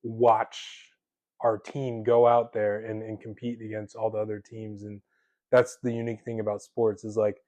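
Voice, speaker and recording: A man's voice: lowish voice, completely flat delivery, moderate pace, a little mellow, dry recording. A young man in his twenties hosting a podcast, chatting casually with lots of fillers and easy laughs. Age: 20s. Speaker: podcast host. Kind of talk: casual conversation.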